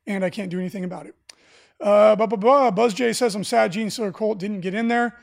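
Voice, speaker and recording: A raspy-voiced man hosting a casual live stream for a game store, talking enthusiastically with lots of fillers. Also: high voice, fairly even intonation, fairly quick. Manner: enthusiastic, rambling with many fillers. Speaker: livestream host for a game store.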